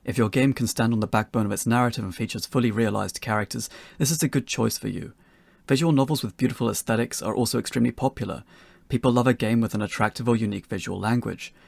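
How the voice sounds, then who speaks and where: A man's voice: medium pitch, fast talker, gravelly, a little mellow, dry recording. A man co-presenting a scripted, pre-recorded instructional talk as an amateur developer.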